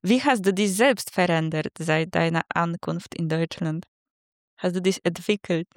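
A woman's voice: fairly low pitch, unhurried, no room sound, studio quality. A woman co-hosting an interview, asking short upbeat questions. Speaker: podcast co-host.

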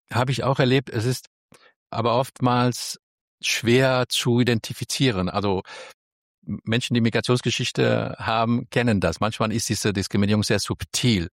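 A man's voice: fairly low pitch, no echo, clean studio sound. A man of Spanish roots answering interview questions thoughtfully, choosing his words carefully with frequent restarts. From Spain. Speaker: interview guest.

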